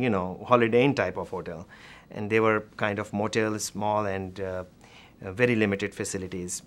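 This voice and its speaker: A man from Pakistan talking conversationally in a documentary interview, with somewhat hesitant, halting phrasing. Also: lowish voice. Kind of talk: conversational reminiscing and explaining.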